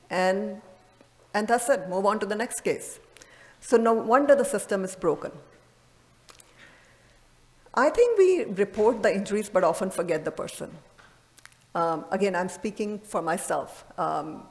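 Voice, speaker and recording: A woman's voice: mid-range voice, darkish tone. A woman giving an earnest, emphatic medical conference lecture as a presenting radiologist, recorded in a reverberant hall.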